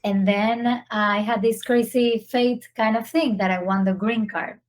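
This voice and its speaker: A woman's voice: a little high, fairly even intonation, a little slow. Woman in her 30s from Venezuela, podcast guest, earnest storyteller. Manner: warm, earnest, with small slips in phrasing. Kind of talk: casual interview storytelling.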